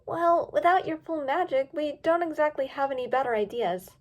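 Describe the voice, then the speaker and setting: A high, bubbly young pony girl, eager and pleading, her voice bouncing up and down with every request. A woman puts her on while reading a storybook. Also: expressive, normal speed. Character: young female pony, cheerful and easily distracted.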